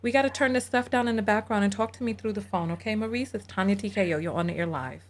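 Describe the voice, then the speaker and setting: A woman hosting a live call-in show, lively and chatty, teasing her callers. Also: medium pitch, fast.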